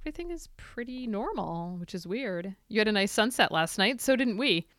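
A woman's voice: mid-range voice, lively intonation, fairly quick, a bit bright, no echo, clean studio sound. A woman co-hosting a casual podcast, chatty and giggly as she shares trivia. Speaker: podcast co-host. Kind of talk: casual chat.

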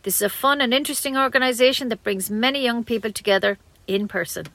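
A woman's voice: fairly high pitch, fast, a bit bright. An Irish woman reading a scripted local news bulletin for community radio, adding brief personal asides as she goes.

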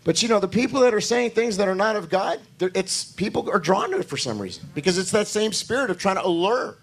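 A male pastor delivers a sermon with a hoarse voice that sits fairly high for a man. He preaches in church, pressing his points with rising, emphatic declarations. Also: animated, quick pace.

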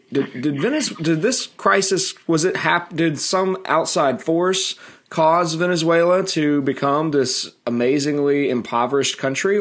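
A middle-aged American man hosting an educational interview, chatty, with fillers and restarts. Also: fairly high pitch. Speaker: podcast host.

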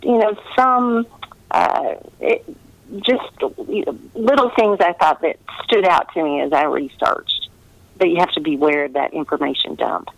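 A woman's voice: fairly low pitch, measured pace, gravelly, no echo, poor audio. A woman novelist chatting casually in an interview, talking in a meandering way with trailing asides.

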